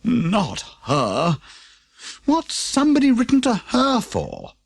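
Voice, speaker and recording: A man's voice: very high voice, wildly swooping pitch, slow, vocal fry, a little hiss or hum, pitched above the performer's own voice, full character voice. A man voicing a good-natured, wary postman in a children's storybook. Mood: wary, muttering. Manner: muttering, chuckling, wary. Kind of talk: children's storybook character reading.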